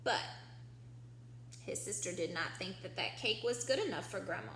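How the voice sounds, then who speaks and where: A woman's voice: medium pitch, lively intonation, normal speed, fairly bright tone. A woman teacher reading a picture book aloud to young children, explaining simply and asking prompting questions. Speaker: early-years teacher. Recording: echoey room with faint background hum.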